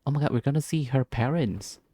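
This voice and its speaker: A man's voice: medium pitch, brisk, dark tone, dry recording, studio quality. A man doing casual, gushing playthrough commentary, often repeating himself as he reacts to the story. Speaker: gameplay video creator.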